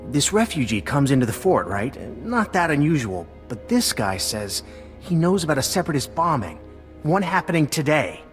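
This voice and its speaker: An actor playing an eager, friendly lieutenant in a video game, chatty and earnest. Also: male voice, a little high, sing-song, normal speed, faint music behind. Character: eager, friendly male lieutenant briefing a soldier. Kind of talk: video game cutscene acting.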